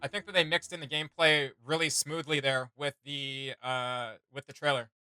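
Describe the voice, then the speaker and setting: Bright-voiced, enthusiastic young man. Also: medium pitch, level tone, moderate pace, clean tone, no echo, soft background music. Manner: enthusiastic, slangy. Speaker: reaction video host. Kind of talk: casual reaction chat.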